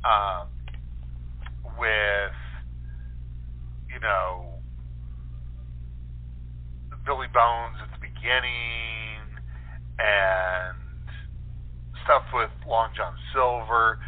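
A man speaking as an interview guest, explaining his thoughts in a halting, thoughtful, casual way. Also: lowish voice. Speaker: guest, playwright and actor. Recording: tinny telephone line.

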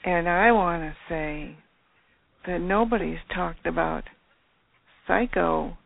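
A woman calling in to a radio show, chatting casually with a deadpan, insistent manner. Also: on the low side, takes it slowly, gravelly, no room sound. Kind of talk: casual phone chat. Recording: tinny telephone line.

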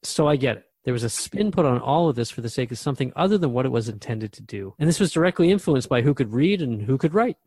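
A man's voice: mid-range voice, lively intonation, fast talker, hardly any pauses, darkish tone, slightly rough, no room sound. A man playing a thoughtful, curious radio host in a radio drama, questioning a spirit guide in a reflective, conversational way.

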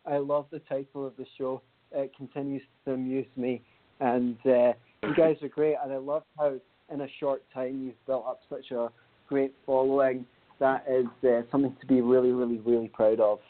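A man's voice: medium pitch, level tone, moderate pace. A man, a guest on a podcast, speaking politely and in an upbeat way. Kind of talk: casual podcast chat. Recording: tinny phone-like call audio.

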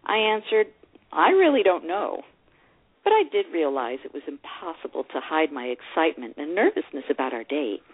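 An actress reading a memoir as a warm-hearted older divorced woman, expressive and measured, narrating a rekindled romance fondly in the first person. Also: low voice, slightly rough, full character voice. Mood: nervously excited. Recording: dull, lo-fi radio-like sound.

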